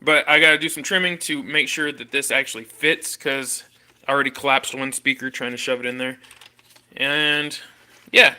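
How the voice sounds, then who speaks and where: A congested man casually chatting on a livestream as host, showing his workshop and rambling in a relaxed way with slang. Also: mid-range voice, moderate pace. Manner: rambling, laid-back, with fillers and slang. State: congested and coughing. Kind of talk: casual livestream chat while showing a build. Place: home workshop or garage.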